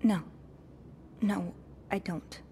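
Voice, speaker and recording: A young woman playing an earnest, nervous hotel maid in a video game, speaking haltingly. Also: mid-range voice, fairly even intonation, dark tone, slight background noise. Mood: nervous, guarded. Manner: hesitant, halting. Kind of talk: naturalistic video game acting.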